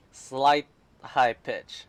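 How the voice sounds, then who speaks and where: A man's voice: medium pitch, slight background noise. A man streaming a video game, giving casual off-the-cuff commentary and thinking aloud.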